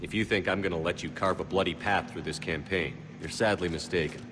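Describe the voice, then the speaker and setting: A man acting as a resolute game hero, a military commander with a deep voice. He talks quickly, in a firm, direct and dry way. There is faint background noise. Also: steady tone, natural voice. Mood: firm, determined. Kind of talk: video game cutscene acting.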